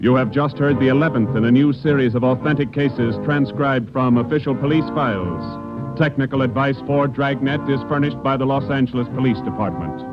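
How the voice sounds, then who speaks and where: Formal vintage American radio announcer, muffled. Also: male voice, mid-range voice, music in the background. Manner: formal, measured. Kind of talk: 1940s radio announcing, scripted. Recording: dull 1940s radio recording.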